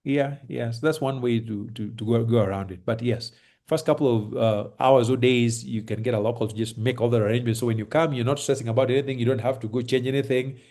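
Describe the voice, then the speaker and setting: A Kenyan man with a Kenyan accent giving relaxed, reassuring advice on a video call, with lots of fillers and restarts. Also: mid-range voice, quick pace. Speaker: host advising on moving to Kenya. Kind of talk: casual advice chat on a call. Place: home in Kenya.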